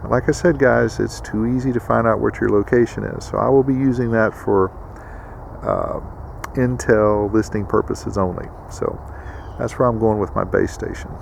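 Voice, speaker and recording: Low, gravelly American man, folksy and rambling. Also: steady tone, moderate pace. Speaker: prepper video host. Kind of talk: casual explanatory product walkthrough. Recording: noticeable background vehicle and ambient noise.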